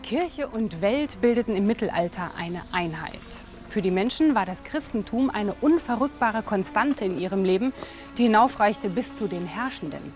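A woman in clear, explanatory scripted narration, also asking questions as interviewer. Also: mid-range voice, lively intonation, moderate pace, darkish tone, faint music behind.

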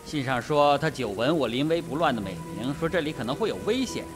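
Man acting a cavalier colonel, slightly high voice. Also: lively intonation, quick pace, music in the background, natural voice. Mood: cool, wry. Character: cool, cavalier male colonel and adventurer. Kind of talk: radio drama acting.

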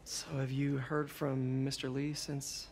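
A man's voice: medium pitch, little pitch movement, measured pace, a little mellow, slight background noise, lightly acted. An actor playing an earnest, caring young man in a video game, with a hesitant, concerned manner. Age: young adult. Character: earnest, anxious young man, caring scientific assistant and hero. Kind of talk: naturalistic video game acting. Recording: music behind voice.

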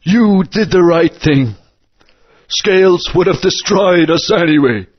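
A man's voice: high voice, lively intonation, takes it slowly, exaggerated voice. An actor playing an old, fatherly dinosaur guardian in a video game, speaking in a grand, storytelling manner. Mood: wise. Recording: dull lo-fi game audio.

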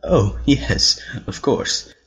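A man voicing a haunted, cautious mad scientist with formal, hesitant phrasing and a husky voice. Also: very slow, a bit bright. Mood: grave, uneasy. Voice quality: very husky, breathy.

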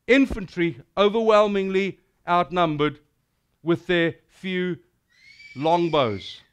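A middle-aged man with a South African accent preaching a sermon, animated and declamatory as he tells a battle story and recites verse. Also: high-pitched, clean tone. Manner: animated and declamatory, gesturing widely.

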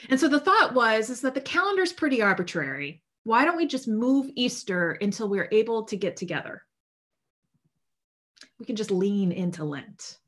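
A woman in her thirties, a priest, gives a sermon from a room at home over a video call. Her voice sits a little high, and she talks in a loose, earnest way, with fillers and self-corrections. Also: a bit bright. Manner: conversational, earnest, with frequent fillers and restarts. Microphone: video call webcam.

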